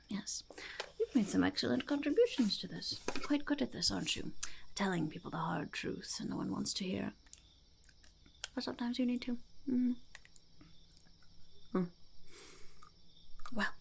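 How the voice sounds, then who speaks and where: A woman voicing a blunt, scheming female goblin entrepreneur in a soft, creaky ASMR roleplay, often slipping into a whisper. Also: medium pitch, a little hiss or hum. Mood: pleased, approving. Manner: third-person self-reference, mock-grand, haggling. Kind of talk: ASMR roleplay, softly spoken to the listener.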